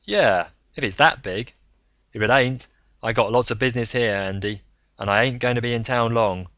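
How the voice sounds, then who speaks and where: A man's voice: mid-range voice, moderate pace, fairly bright tone, no room sound, muffled recording, pitched above the performer's own voice, natural voice. Man voicing a brusque old tycoon, raised voice. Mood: shrewd, insistent. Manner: folksy ungrammatical speech, blunt. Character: gambling tycoon in his seventies, uneducated, shrewd, brusque.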